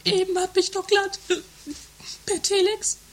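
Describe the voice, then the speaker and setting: A woman's voice: very high voice, level tone, a little slow, slight background noise. A woman playing a bossy, melodramatic wife and mother in an improvised radio comedy, with theatrical, overwrought delivery. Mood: whiny, overwrought. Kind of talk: improvised trashy 1980s radio comedy acting.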